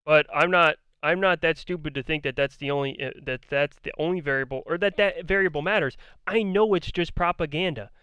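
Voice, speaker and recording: A man delivers argumentative, profane commentary, hammering the same points again and again. His smooth voice sits somewhat high and has begun to turn hoarse. He was recorded up close with no room sound at all. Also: fairly quick, hardly any pauses, pushed and bright, dry recording. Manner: argumentative, blunt, profane, repeats himself. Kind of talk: ranting commentary on a debate.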